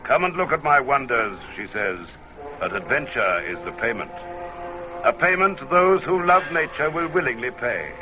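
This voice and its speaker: A man narrating an old-time radio adventure from a script in a measured, theatrical manner. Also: medium pitch, sing-song. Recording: dull vintage radio recording. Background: music.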